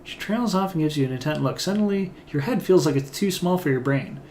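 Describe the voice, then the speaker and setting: A man's voice: fairly high pitch, lively intonation, a little fast, darkish tone, a little hiss or hum. A man casually reading a game's dialogue and narration aloud, often hesitating as he reads. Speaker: game let's player reading dialogue aloud.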